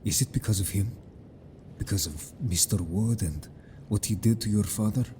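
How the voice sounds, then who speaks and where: A man's voice: lowish voice, moderate pace, mellow, slight background noise, natural voice. A man playing a stern but caring, protective merman in a fantasy audio drama, speaking firmly and formally. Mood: probing. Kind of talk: scripted audio drama acting.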